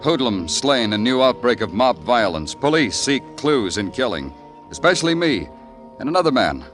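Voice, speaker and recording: An actor playing a world-weary, sardonic police detective in an old radio noir drama, terse and brooding, with dramatic delivery. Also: male voice, medium pitch, very animated, moderate pace, fairly bright tone, faint music behind. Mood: lyrical, cynical. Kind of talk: 1950s radio noir narration and acting.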